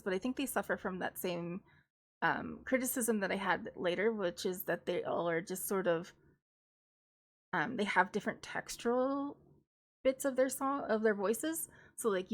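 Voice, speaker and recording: Young woman, classical singer streaming, mid-range voice, rambling analytical reaction chat, a touch nasal. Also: mid-range voice. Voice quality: slightly nasal at times. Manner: thinks aloud, opinionated, analytical about singing. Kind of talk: casual live-stream reaction commentary.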